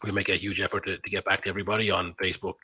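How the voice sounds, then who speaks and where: A man's voice: low-pitched, little pitch movement, very fast, sharp tone, slight background noise. A man hosting a casual live sports betting stream, talking straight to viewers, and his voice sounds nasal today. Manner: chatty, addresses viewers directly. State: nasal-sounding, has a cold. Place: in Belgium.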